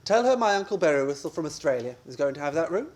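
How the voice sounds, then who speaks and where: A man's voice: fairly high pitch, sing-song, fast, a little mellow. A man playing an exasperated, sarcastic, scheming husband in a comic radio play, blustering and wry in a theatrical way. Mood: bossy, defiant.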